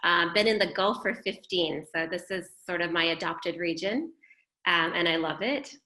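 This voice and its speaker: Woman head of school from Arizona, upbeat, reflective, low-ish bright voice, echoey room on a video call. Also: moderate pace. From Phoenix, Arizona. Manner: upbeat, reflective, self-deprecating. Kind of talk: webinar panel remarks.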